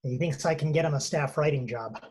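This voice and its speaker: A man's voice: fairly high pitch, a little fast, a little mellow, breathy edge. A man playing a skeptical TV writer in a screenplay table read, with a dry, offhand, dismissive manner.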